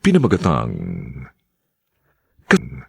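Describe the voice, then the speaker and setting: A man's voice: low-pitched, huge pitch swings, slow pace, creaky, fairly bright tone, husky, dry recording, studio quality. A man narrating and announcing a radio drama in a solemn, formal, theatrical style.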